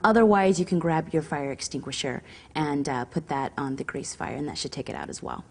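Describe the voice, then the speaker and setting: A woman's voice: low-pitched, expressive, brisk, creaky, darkish tone. A woman giving instructional safety advice in a hesitant, explanatory way. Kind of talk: semi-scripted instructional explanation.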